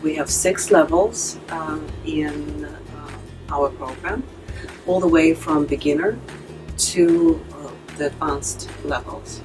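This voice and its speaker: A woman academic director speaking in a news interview, pausing often with uh. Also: low-pitched, fairly even intonation, over music. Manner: halting, many uh pauses.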